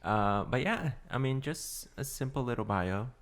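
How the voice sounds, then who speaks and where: A young man from Washington, D.C., co-hosting a casual podcast chat, soft-spoken and giggly. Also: medium pitch, lively intonation, unhurried, slight background noise. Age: young adult. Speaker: podcast co-host.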